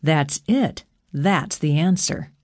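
A woman's voice: sits low, slow, a little mellow. Woman putting on a teasing young male god. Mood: coaxing. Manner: teasing, reassuring. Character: teasing, affectionate male god, laid-back young boyfriend.

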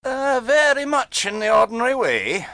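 Guy doing a grave old ship engineer, dry and polite, raised pitch, lilting, sea story. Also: animated, bright, forceful tone, no echo, exaggerated voice. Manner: measured, dryly polite. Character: grave, dry older ship's chief engineer.

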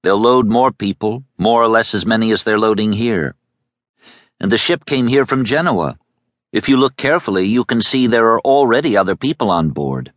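A man's voice: fairly low pitch, expressive, moderate pace, no room sound, studio quality, pitched above the performer's own voice, close to an everyday voice. A man voicing a streetwise young boy who lectures with brash certainty. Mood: explaining.

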